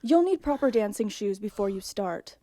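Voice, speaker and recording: A woman's voice: mid-range voice, animated, normal speed, dark tone, no echo, clean studio sound, natural voice. A woman playing a stern, haughty swan-maiden dance teacher in an audio drama, curt and imperious. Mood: stern disapproval.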